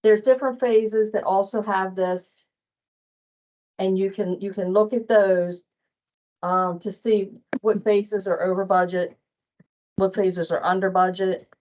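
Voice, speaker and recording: A woman's voice: mid-range voice, steady tone, darkish tone. Woman trainer on muffled meeting audio. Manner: plain-spoken, halting, conversational. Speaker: staff trainer on invoicing. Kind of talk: informal training walkthrough. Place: online meeting with screen share.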